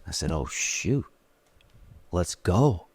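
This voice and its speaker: A man's voice: sits low, very animated, takes it slowly, a little mellow, husky. A man hosting a casual interview, talking in a laid-back, slangy, joking way. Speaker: podcast host.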